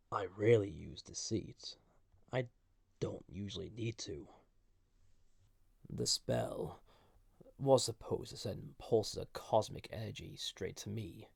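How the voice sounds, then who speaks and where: A man voicing an ancient banished villain entity in an intimate audio roleplay, soft-spoken and formal, with a gravelly, husky voice that often drops to a whisper. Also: lowish voice, no room sound, studio quality, full character voice. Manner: slow, halting, gently condescending, formal. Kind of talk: intimate scripted audio roleplay addressed to listener.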